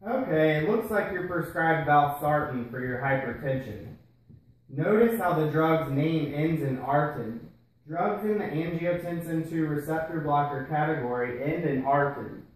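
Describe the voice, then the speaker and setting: A man's voice: on the high side, expressive, a little slow. A man playing a patient, explanatory health-care puppet in a scripted educational show. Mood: instructive. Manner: explanatory, instructional. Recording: roomy echo.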